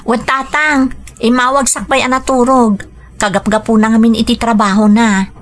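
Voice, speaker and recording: An actress with a northern Philippine accent playing a cheerful, dutiful young daughter in a radio drama. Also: female voice, a little high, level tone, normal speed, a bit bright, close to an everyday voice. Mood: caring, earnest. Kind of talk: Ilocano radio drama acting.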